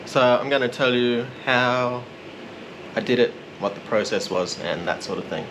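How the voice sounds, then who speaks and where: A man narrating a casual vlog in a relaxed, rambling, self-deprecating way. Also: medium pitch, normal speed, noisy background. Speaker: vlogger.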